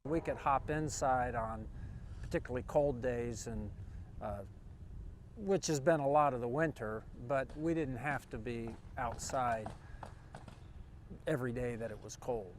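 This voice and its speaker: A man's voice: mid-range voice, measured pace, long pauses. A man speaking informally in an interview as a construction manager. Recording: faint vehicle and site noise.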